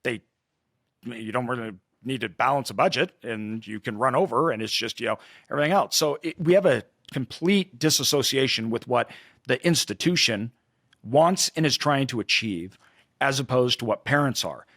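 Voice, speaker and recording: Male co-host, mid-pitched, forceful opinions, dry mic. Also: normal speed, no room sound. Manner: emphatic, critical, rhetorical questions. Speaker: podcast co-host. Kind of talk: opinionated casual discussion.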